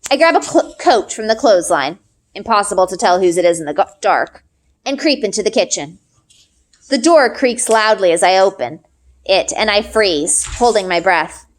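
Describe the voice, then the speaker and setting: A woman's voice: medium pitch, animated, moderate pace. A woman reading a story aloud expressively, as a teacher would, acting out the dialogue.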